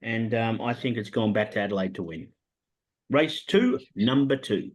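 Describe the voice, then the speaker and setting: A man with an Australian accent hosting a casual horse racing tips chat, pitching his picks with lots of "um" fillers. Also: normal speed. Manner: animated salesman pitch, many "um" fillers. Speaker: racing tipster host.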